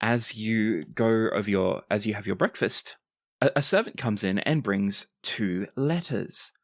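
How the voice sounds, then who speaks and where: Game master, mid pitch, dry close recording, laying out rules and scenes for players. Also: unhurried, dry recording. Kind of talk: explaining tabletop game rules and narrating.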